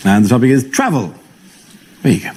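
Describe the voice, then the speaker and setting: A man with a mid-pitched, animated voice acts as host of a comedy show. He gives short announcements to set up each round. The live recording has heavy background noise. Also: a little fast.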